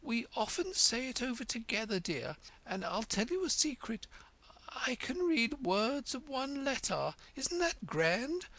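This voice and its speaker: A storybook reader voicing a gentle, muddled queen who rambles nervously. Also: mid-range voice, sing-song, measured pace, voice pushed up, heavily acted, rough recording. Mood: anxious.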